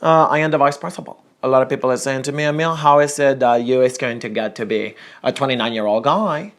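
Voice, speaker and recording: A man's voice: mid-range voice, quick pace, lightly acted. A man voicing a boastful 29-year-old Colombian vice principal, in a put-on Colombian accent with broken English. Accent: put-on Colombian Spanish. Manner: loud, proud, broken English.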